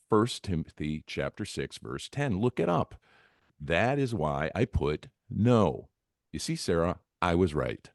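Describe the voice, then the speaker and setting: Seeking a man to play a brash wisecracking game show contestant, very deep and animated, argumentative, at an informal table read. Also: dry recording, studio quality, heavily acted. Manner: blunt, teasing, argumentative. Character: cocky, impatient male reality show contestant, competitive wisecracker.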